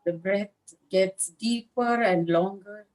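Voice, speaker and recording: A woman leading a guided meditation as a teacher, speaking in a calm, measured manner with long pauses between short phrases. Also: mid-range voice, rough recording. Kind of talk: guided meditation instruction, live session.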